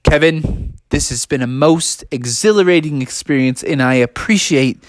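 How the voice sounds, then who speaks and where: A man's voice: fairly high pitch, normal speed, dry recording, lightly acted. A man voicing a small, plucky, earnest frog boxer in a children's story. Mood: gracious.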